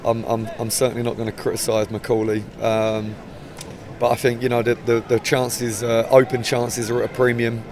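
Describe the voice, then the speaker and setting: English man in his 40s, football manager, level and even delivery, talks slowly with restarts, outdoor pitchside, handheld mic, faint traffic hum behind. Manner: measured, hesitant, many restarts. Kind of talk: post-match interview. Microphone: handheld mic held up close.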